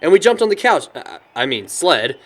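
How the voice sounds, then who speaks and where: Animated, higher-voiced young American man acting. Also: moderate pace. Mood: fond, nostalgic. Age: young adult. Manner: chuckles often, animated storyteller. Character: cheerful young man, sentimental but worried about his sister. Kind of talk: audio drama dialogue.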